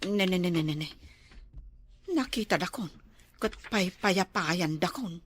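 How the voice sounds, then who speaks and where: A woman's voice: lowish voice, a little slow, breathy edge, no room sound. A woman playing an envious, fault-finding wife in an Ilocano radio drama, grumbling and drawing out her words. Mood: sour, resentful.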